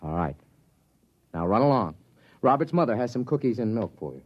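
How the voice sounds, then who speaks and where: A man's voice: mid-range voice, very animated, a little fast, no echo. An actor playing an anxious father and time-travel experimenter in 1950s radio drama style, with an American accent. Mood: firm, fatherly. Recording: vintage radio broadcast, dull, music underneath.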